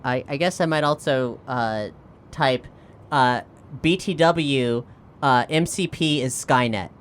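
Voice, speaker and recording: A woman playing a tabletop role-playing game, improvising casually and thinking aloud in halting phrases. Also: rumbling low, a little hiss or hum.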